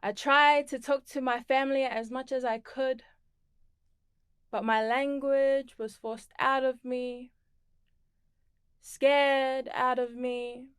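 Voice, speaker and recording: A woman from the Torres Strait Islands and Tonga, performing a spoken word poem in a slow, measured and deliberate way. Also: high-pitched, little pitch movement, clear, resonant tone, no room sound, studio quality. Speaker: performing poet.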